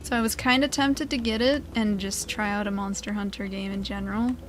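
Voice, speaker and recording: Woman, gaming video host, medium pitch, casual and chatty delivery, music in the background. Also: steady tone, fairly quick. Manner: chatty, informal. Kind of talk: casual gameplay commentary.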